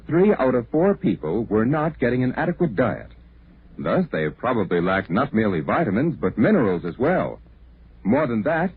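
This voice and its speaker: A man delivering an advertising pitch as a 1940s radio commercial announcer. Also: a little hiss or hum. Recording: tinny, indistinct vintage radio broadcast.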